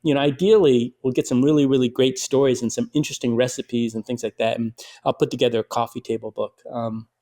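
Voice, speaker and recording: A middle-aged man from Detroit, a writer and filmmaker, chatting in an interview as an animated storyteller with lots of fillers and restarts. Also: mid-range voice, quick pace, few pauses. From Detroit, USA. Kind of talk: conversational interview.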